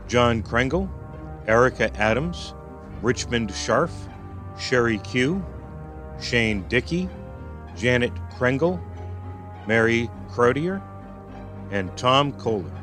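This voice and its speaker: A man's voice: mid-range voice, animated, slow, pauses often, a bit bright. Male credits announcer over faint music. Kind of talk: scripted radio credits announcement.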